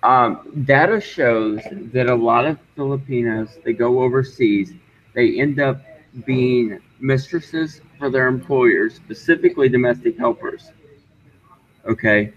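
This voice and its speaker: A man arguing his point in casual online talk, halting and insistent, often repeating himself. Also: medium pitch. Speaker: discussion guest. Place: online group voice call. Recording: dull, noisy voice-call audio.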